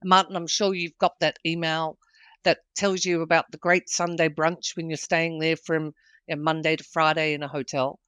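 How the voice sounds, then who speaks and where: A woman's voice: sits low, flat delivery, normal speed, fairly bright tone, smooth, no room sound. A woman with an Australian accent, a guest on a business podcast, answering in a confident, businesslike manner. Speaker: guest, hospitality executive. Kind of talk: interview answers.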